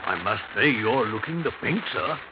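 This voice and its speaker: Man playing lively old family steward. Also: mid-range voice, moderate pace, lightly acted. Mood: deferential, dismissive. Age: older. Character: plump, friendly old family steward, deferential and fond. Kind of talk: old radio drama acting. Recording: dull, noisy old radio recording.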